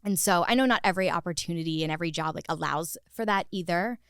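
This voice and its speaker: A woman's voice: lowish voice, quick pace, a bit bright, smooth, no room sound, clean studio sound. A woman talking conversationally as an interview guest, telling stories with frequent "like" and acted-out quotes. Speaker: podcast guest, actor turned director.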